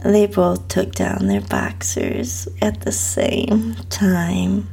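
A woman reads a first-person story very slowly, in a hushed and sultry way. Her voice is strongly breathy and husky, dipping into creaky fry. The delivery is intimate, like close storytelling. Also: on the low side, sing-song. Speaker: host and narrator of own story podcast.

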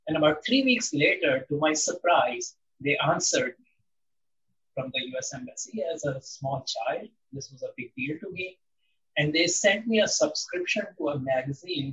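Older guy from india, slightly high voice, calm and thoughtful, sounds a bit far off and muffled. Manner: measured, reflective, with frequent ums. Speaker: interviewee. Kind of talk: interview conversation.

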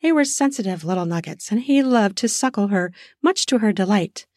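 A woman narrating an erotic audio story in a slow, sensual way, lingering on words for emphasis. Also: mid-range voice, lively intonation, darkish tone, dry recording, clean studio sound. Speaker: storyteller, podcast host.

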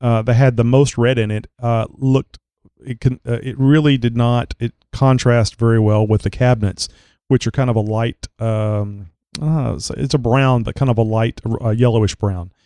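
A man's voice: mid-range voice, steady tone, moderate pace, mellow, smooth, dry recording. A man hosting a casual chat show, rambling with lots of filler words, his voice a little crackly as he recovers from a cold. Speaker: podcast host.

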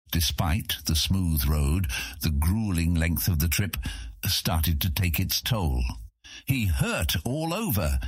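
Deep voiced guy narrating a fantasy audiobook, husky, super expressive intonation, dry studio sound. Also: no echo. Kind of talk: dramatic scripted narration of fantasy fiction.

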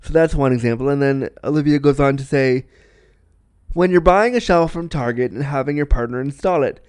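Man, podcast host, sniffly with a cold, rambling chatty delivery, smooth voice, dry close mic. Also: mid-range voice, fairly quick, a little mellow, no echo. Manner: chatty, rambling, repeats himself. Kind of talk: casual solo podcast chat.

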